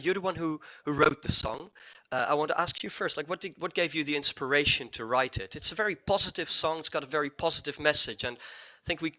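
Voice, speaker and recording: A man's voice: fairly high pitch, bright, forceful tone. A man hosting an online interview, friendly, with frequent restarts. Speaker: host.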